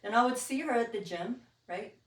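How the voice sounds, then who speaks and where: A woman's voice: on the high side, brisk. Candid woman in a roomy, distant recording. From Philippines and Guam. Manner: candid, informal. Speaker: podcast guest. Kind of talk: casual personal conversation. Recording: roomy echo, distant from the mic.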